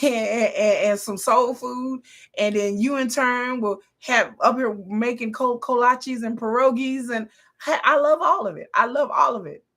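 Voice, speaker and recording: A woman's voice: on the high side, fairly bright tone. Blunt, profane woman ranting casually. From northern United States. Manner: blunt, profane, rambling with restarts. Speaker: commentary channel host. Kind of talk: casual opinion monologue.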